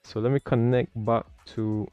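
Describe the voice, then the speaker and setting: A man's voice: medium pitch, flat delivery, normal speed, smooth, no echo, lo-fi sound, faint music behind. A man hosting a livestream, talking casually as he comments and explains as a learner. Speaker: livestream host learning air traffic control.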